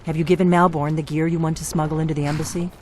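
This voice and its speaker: A man playing a businesslike conspirator in a video game, giving brisk, serious instructions. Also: on the high side, level tone, a little mellow, a little hiss or hum, close to an everyday voice. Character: businesslike male conspirator briefing an agent on infiltration. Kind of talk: video game character acting.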